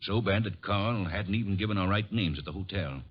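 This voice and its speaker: A man's voice: low voice, fairly quick, slightly rough. An American actor playing a big, stubborn man bent on revenge in a 1940s radio drama. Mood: brooding recollection. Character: big bearded vengeful man, grim and stubborn. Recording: muffled vintage radio recording.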